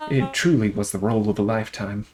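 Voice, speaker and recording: A man's voice: lowish voice, fast, darkish tone, soft background music, natural voice. A man voicing a preening, fawning male stage actor in a video game, florid and theatrical. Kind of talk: video game character voice acting.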